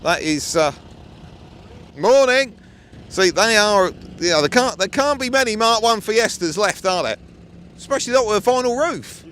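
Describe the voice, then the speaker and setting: A man's voice: high voice, lively intonation, moderate pace, fairly bright tone, slight background noise. A man with a British accent chatting casually and enthusiastically with car owners outdoors, restarting his words often. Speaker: vlogger walking a car show.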